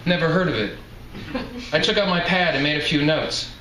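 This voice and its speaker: Man reading fiction aloud, bright roomy sound. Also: fairly high pitch, moderate pace. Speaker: author reading from own novel. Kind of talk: live literary reading, scripted narration with brief introduction. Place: indoor live reading event before an audience. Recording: roomy echoing live venue, some noise.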